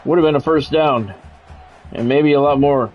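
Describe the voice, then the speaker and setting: A man's voice: a little high, moderate pace, soft background music. A middle-aged man doing sports video game play-by-play with blunt, sweary, exasperated reactions. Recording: dull lo-fi audio, faint background noise.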